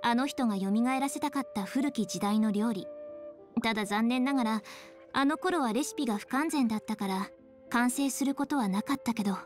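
An actress plays a composed young noblewoman in a Japanese game. Her voice sits a little high, her phrasing is measured and earnest, and soft music plays behind. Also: close to an everyday voice. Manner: measured, earnest, formal feminine speech.

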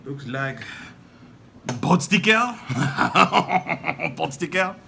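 A man's voice: fairly high pitch, animated, drawn-out words, creaky, pushed and bright, distant mic. A man in his thirties chatting casually and playfully as a dad in a family vlog. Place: home living and dining room. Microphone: handheld phone camera at some distance. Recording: roomy, noisy phone recording, off mic.

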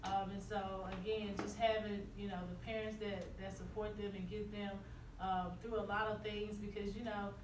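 A woman basketball coach answering press questions after a game, earnest and halting with frequent restarts. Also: medium pitch, little pitch movement. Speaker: high school basketball coach. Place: small room at an arena. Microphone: far camera. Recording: roomy, distant, noisy.

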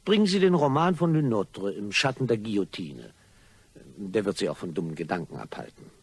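An actor playing a nervous, stammering blackmailer in a radio play, formal but easily flustered. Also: male voice, lowish voice, big pitch swings, a little fast, slightly rough, full character voice. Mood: insistent. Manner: hesitant, stammering, exclamatory, theatrical. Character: flustered male blackmailer, himself being squeezed, nervous and apologetic.

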